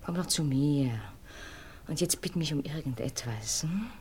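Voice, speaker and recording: A theatrical stage actress for radio drama. Her voice sits a little low and moves widely in pitch. She is seductive, mocking and commanding, with a dramatic, capricious delivery. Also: lively intonation, a little slow, a little mellow, slightly rough, full character voice. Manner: teasing, imperious, dramatic. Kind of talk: radio play acting.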